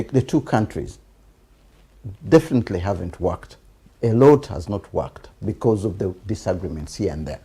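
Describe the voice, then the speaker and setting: A male interviewer with a Ugandan accent and a husky, mellow voice. His pitch moves around a great deal as he argues conversationally, often repeating words while he builds his point. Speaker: TV programme host.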